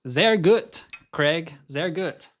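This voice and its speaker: A man's voice: fairly high pitch, expressive, extremely slow. A young man with an American accent, born in Scotland, hosting a casual live stream and chatting while he watches qualifying. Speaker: livestream host.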